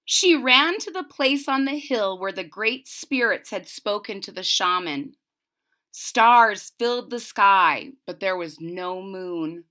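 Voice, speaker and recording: A woman reading a children's story aloud expressively and deliberately, pausing to explain it simply to young listeners. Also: medium pitch, lively intonation, pushed and bright. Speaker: storybook reader.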